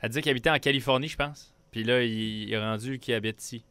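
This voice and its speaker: A man's voice: medium pitch, quick pace, fairly bright tone, clear, resonant tone, no room sound. A man with a Quebec French accent casually relaying listener messages as a radio co-host. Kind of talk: casual chat reading listener texts.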